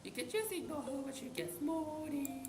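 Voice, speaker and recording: A man voicing a bubbly, energetic teenage schoolgirl in a game, with a bouncy, excitable delivery. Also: very high-pitched, normal speed, voice pushed up. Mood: excited. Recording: roomy with music behind.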